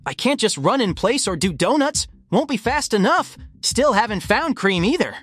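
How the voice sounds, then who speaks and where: A man's voice: high voice, big pitch swings, normal speed, a bit bright, slightly rough, heavily acted. A man playing a young heroic hedgehog, cocky but worried, in a dramatic comic dub with casual, earnest delivery. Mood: urgent. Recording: music behind the voice.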